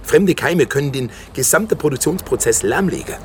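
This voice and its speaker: An actor playing an evasive, officious slaughterhouse manager in a naturalistic radio drama, talking in formal, defensive corporate phrases. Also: male voice, on the high side, animated, fairly quick, slight background noise, lightly acted. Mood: nervous, officious.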